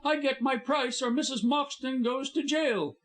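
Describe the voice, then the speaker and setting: A man putting on a shrill sneering blackmailer. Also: animated, normal speed. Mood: savage. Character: scheming blackmailing male lawyer, sneering. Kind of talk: audiobook reading.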